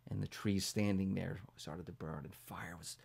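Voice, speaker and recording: A man telling a children's bedtime story as a pastor, building it up dramatically from hushed to emphatic. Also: low-pitched, steady tone, normal speed, gravelly, a little hiss or hum.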